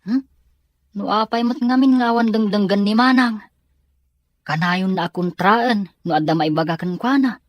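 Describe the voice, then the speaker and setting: A woman's voice: medium pitch, moderate pace, no echo, dull audio, natural voice. A woman voicing a concerned, helpful younger son in an Ilocano radio drama. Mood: concerned, complaining.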